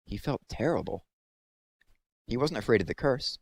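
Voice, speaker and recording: A man's voice: mid-range voice, lively intonation, normal speed, creaky. A man narrating a children's novel as a read-aloud, in scripted storytelling style.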